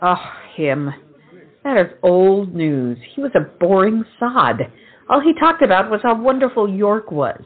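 A woman plays a gossipy, offhand young lady of society in a period comedy. Her husky voice moves in lively swings, on a tinny, phone-like recording. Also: mid-range voice, measured pace. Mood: dismissive. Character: breezy, dismissive young society lady, hostess's friend. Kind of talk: theatrical comic period audio drama. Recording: muffled, tinny phone-like sound.

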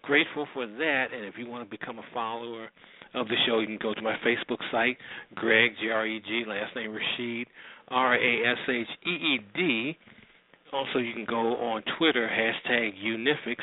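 A man's voice: mid-range voice, steady tone, moderate pace, dry recording. A man hosting a radio show, talking in a casual, informal, conversational way. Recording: thin phone-like band-limited sound.

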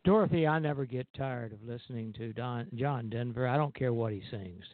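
A man hosting a music radio show, reading history notes aloud in a halting way and stumbling now and then. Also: mid-range voice, dry recording. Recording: tinny narrow phone-line sound.

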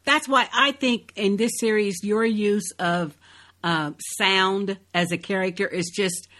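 Agreeable woman co-host, mid-pitched voice. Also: fairly even intonation, measured pace, a bit bright. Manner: agreeable, chimes in with short affirmations. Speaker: podcast co-host. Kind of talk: casual chat.

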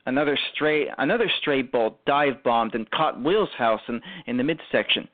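A man giving dramatic scripted narration for an amateur radio play, halting and repeating phrases after stumbles. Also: mid-range voice, dry recording. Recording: tinny, narrow phone-like sound.

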